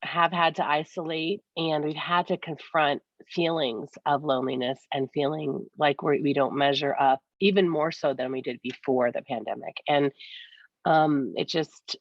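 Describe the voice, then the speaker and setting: Female podcast co-host, deep-voiced and mildly congested, conversational, on a dull, roomy recording. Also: steady tone, moderate pace. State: slightly congested from a cold-like illness. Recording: dull, roomy, echoey sound.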